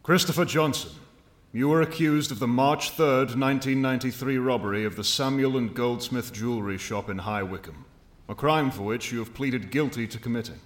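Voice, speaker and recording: Judge male actor: mid-pitched, commanding, stern, formal courtroom delivery for radio play. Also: normal speed, echoey room, close to an everyday voice. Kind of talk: audio drama acting.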